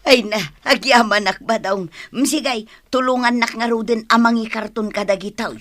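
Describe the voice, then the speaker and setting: Actress as high-voiced bossy Ilocano wife. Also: female voice, steady tone, normal speed, fairly bright tone, close to an everyday voice. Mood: brisk, insistent. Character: bossy, practical wife who runs a food business. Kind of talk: Ilocano radio comedy drama acting.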